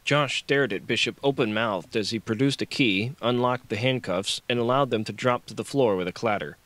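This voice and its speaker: A man's voice: medium pitch, moderate pace, a bit bright. A man narrating a novel as an audiobook, reading scripted fiction on a close microphone.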